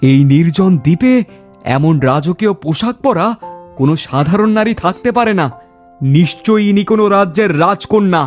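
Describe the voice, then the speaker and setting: A man's voice: sits high, expressive, moderate pace, faint music behind. A man playing a brave, kind and commanding king in a fairy-tale cartoon. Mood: curious.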